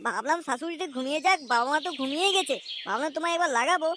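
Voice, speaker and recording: Very high-pitched, foul-mouthed cartoon husband acted by a man. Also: expressive, racing, sharp tone, heavily acted. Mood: angry, crude. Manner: crude, swearing, sarcastic grumbling. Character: foul-mouthed, hot-tempered young husband and son-in-law. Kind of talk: comic cartoon dialogue. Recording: noisy track with clicks and chirping birds.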